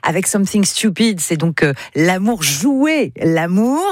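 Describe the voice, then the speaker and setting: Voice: mid-range voice, very animated, measured pace, dry recording, clean studio sound. A radio host conducting a casual music interview in an effusive, enthusiastic manner.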